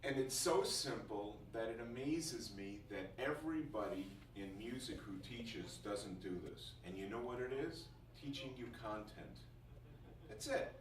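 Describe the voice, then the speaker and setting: A man's voice: fairly low pitch, a little slow. A man giving an informal, jokey first-day talk to music students as their teacher, often repeating phrases for emphasis. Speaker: music school teacher addressing new students. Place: indoor classroom. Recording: roomy, distant mic, faint noise.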